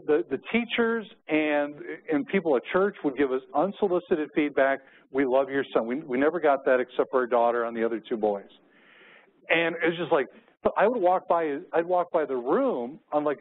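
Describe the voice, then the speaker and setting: A middle-aged American man giving a conference keynote, telling personal anecdotes as a storyteller. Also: fairly high pitch. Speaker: keynote speaker. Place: conference room with windows. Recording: muffled, phone-like narrow-band audio.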